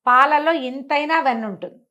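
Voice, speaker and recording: A woman's voice: high-pitched, brisk, pushed and bright, lo-fi sound. A woman storyteller. She narrates a moral fable expressively, acting out the exchanges and putting questions to her listener as she explains.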